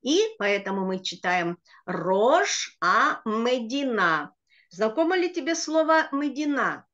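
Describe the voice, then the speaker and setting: Slow, patient woman teacher on a video call. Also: a little high, very animated, fairly bright tone. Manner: slow, patient, explaining word by word. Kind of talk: online children's Hebrew reading lesson. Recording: dull lo-fi video call audio.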